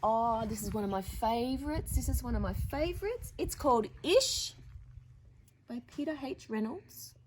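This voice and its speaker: A woman's voice: on the high side, lively intonation, normal speed, a little mellow, a little hiss or hum. A woman presenting storytime, reading picture books in a playful, theatrical way with chatty asides to young listeners. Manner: playful, theatrical, with dramatic emphasis.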